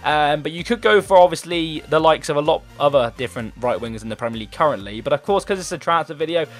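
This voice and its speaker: A man's voice: on the high side, fast talker. A young man with a sore throat, chatting casually about football players for his gaming channel. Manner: chatty, rambling, enthusiastic. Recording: faint background music.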